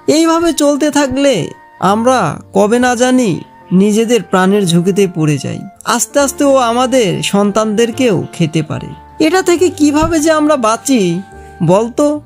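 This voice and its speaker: A woman voices a worried villager in a cartoon folk tale. The delivery is plaintive and unhurried, with music playing behind. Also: fairly high pitch, very animated, a little mellow. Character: worried villager fearing a monster jackal.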